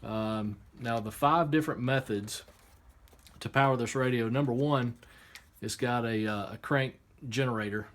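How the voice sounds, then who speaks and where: A man giving a casual, unscripted hands-on product demonstration, explaining step by step in a halting way. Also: mid-range voice. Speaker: product reviewer. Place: indoor workshop. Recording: clear background noise, room ambience.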